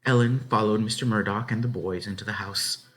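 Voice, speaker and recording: A man reading a children's story aloud as an expressive narrator. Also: lowish voice, fairly quick.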